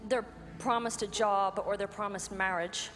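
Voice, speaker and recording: A woman's voice: medium pitch, steady tone, measured pace. A woman, giving personal testimony in short phrases. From Ireland. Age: 20s. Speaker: anti-trafficking worker, guest speaker. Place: large event hall. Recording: hall reverb, music behind, live PA. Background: music.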